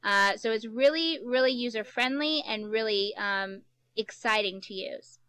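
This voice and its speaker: A woman author moderating an informal panel for an audience, chatty and quick to laugh. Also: fairly high pitch, bright, forceful tone, clean tone, no echo.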